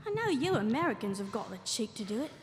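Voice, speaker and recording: A woman's voice: fairly high pitch, very animated, fast, slight background noise. An actress playing a cheeky, impatient British woman lieutenant in a wartime audio drama. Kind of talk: live audio drama acting. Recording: echoey hall, live stage recording.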